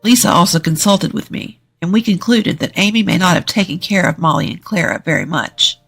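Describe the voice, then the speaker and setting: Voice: mid-range voice, fairly quick, vocal fry, fairly bright tone, husky. Scripted story narrator over faint music. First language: English.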